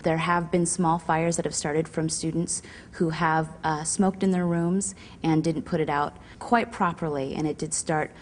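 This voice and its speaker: A woman with a low voice explains safety guidance like an advisor. Her phrasing is halting and instructional, feeling its way through each point. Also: little pitch movement, a little hiss or hum. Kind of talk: semi-scripted instructional explanation.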